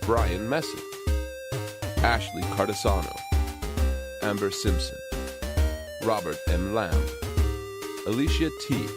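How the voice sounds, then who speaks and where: A man's voice: lowish voice, expressive, slow pace, long pauses. A man narrating a comic fantasy drama from a script in a mock-dramatic manner. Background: loud music.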